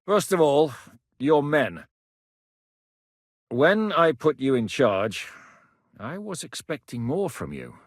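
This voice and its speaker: An actor playing a battle-hardened medieval rebel commander in a video game, gruff and dry-humoured, with a blunt, commanding, sardonic manner. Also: male voice, a little high, big pitch swings, slow pace, pauses often, dry recording, exaggerated voice. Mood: calm, dry. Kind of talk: dramatic video game character acting.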